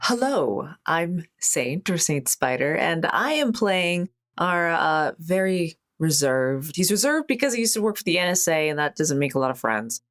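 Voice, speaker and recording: Female tabletop player, low register, casual and wry game-table chat. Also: very animated, normal speed. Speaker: tabletop role-playing player.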